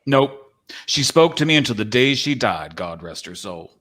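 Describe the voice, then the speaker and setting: Casting: old-time cowboy male actor, medium pitch, rustic, boastful, teasing, live theatre reading. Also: big pitch swings, normal speed, fairly bright tone. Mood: proud, wistful. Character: folksy, proud old-time cowboy living in a painting. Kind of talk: dramatic script reading.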